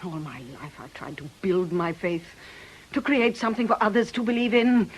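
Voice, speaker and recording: A woman's voice: medium pitch, a bit bright, slight background noise, natural voice, rough recording. An actress playing a bitter, imperious woman who leads an occult coven, in a theatrical radio drama style. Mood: bitter fury. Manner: imperious, scornful, theatrical delivery. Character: bitter, menacing woman occult coven leader and fortune-teller.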